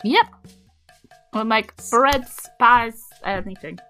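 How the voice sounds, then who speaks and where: Bright, higher-pitched woman, casual player. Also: slow pace, soft background music. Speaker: player in a tabletop game podcast. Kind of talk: casual unscripted group chat.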